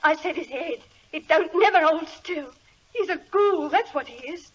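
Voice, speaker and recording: An actress playing a frightened, superstitious country housemaid, in excitable 1940s radio style. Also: female voice, very high voice, normal speed. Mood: frightened, hysterical. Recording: muffled, noisy old radio recording.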